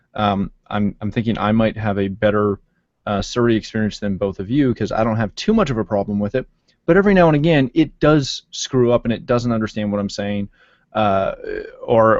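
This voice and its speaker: A man in his thirties hosts a relaxed panel chat over a video call, talking loosely with plenty of fillers and restarts. His voice sits on the low side. The audio is dry and a little muffled. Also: moderate pace, no room sound. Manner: loose, filler-heavy talk with many restarts. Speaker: podcast host. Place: home office with shelves and wall clock. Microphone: desk mic on video call.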